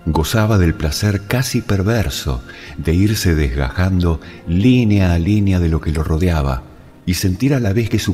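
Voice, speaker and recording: A man narrating a literary story in a dramatic, measured and deliberate way. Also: sits low, darkish tone. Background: music.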